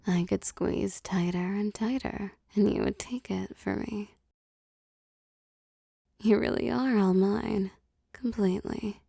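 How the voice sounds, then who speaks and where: A woman voicing a seductive, possessive vampire boss in an intimate audio roleplay, cooing and teasing softly and often slipping into whispers. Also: medium pitch, steady tone, takes it slowly, gravelly, long pauses, no echo, clean studio sound. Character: seductive, possessive female vampire CEO pampering her prey. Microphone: close, dry microphone.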